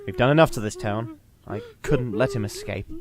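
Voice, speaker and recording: A mid-pitched male elf played by a man, lively in intonation. He sounds weary and reasonable but a little haughty, with articulate, exasperated phrasing. The style is comic fantasy audio drama. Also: moderate pace, a loud crowd. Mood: apologetic.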